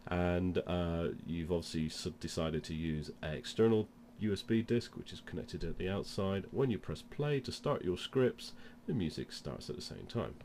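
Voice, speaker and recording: Low-voiced man with a cold, demonstrating. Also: animated, normal speed, hardly any pauses, a little mellow, a little hiss or hum. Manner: step-by-step explaining. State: has a cold. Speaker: presenter of product demonstration. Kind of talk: informal instructional demo.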